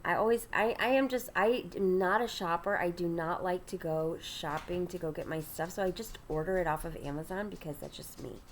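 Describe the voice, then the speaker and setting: Congested woman host, lower voice, rambling get-ready-with-me chat while doing makeup, faint hiss behind. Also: fairly quick, few pauses. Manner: chatty, rambling, many ums and restarts. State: congested, stuffy nasal voice. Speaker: ministry host.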